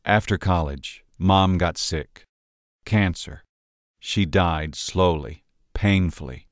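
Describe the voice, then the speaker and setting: A man voicing a grieving American man, low, weary and resentful. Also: slow, no room sound, studio quality.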